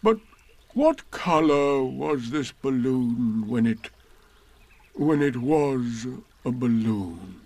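Casting: doleful storybook donkey, ponderous, resigned, creaky voice, very slow. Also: male voice, mid-range voice, expressive, a little mellow, full character voice. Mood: crestfallen. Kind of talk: children's storybook character voice.

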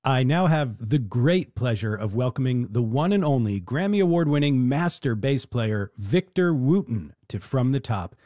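Męski głos prowadzącego, suche nagranie. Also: male voice, medium pitch, warm tone, dry recording, studio quality. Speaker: radio music show host. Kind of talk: interviewing young musicians, warm introductions.

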